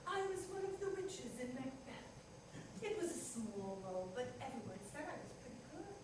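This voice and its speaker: A woman acts out a mature, theatrical wife in a stage comedy, exasperated and full of self-doubt. Her high voice moves in lively swings and emphatic questions. It is heard from far off in a noisy room. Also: moderate pace. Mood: wistful. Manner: dramatic, emphatic, rhetorical questions. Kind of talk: staged reading of a comic play. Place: live theater reading in an echoey room. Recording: echoey hall from far off, noisy.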